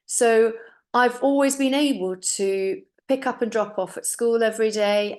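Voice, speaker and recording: A middle-aged woman originally from Finland giving an earnest, upbeat sales presentation about a business opportunity, speaking personally to her audience. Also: medium pitch.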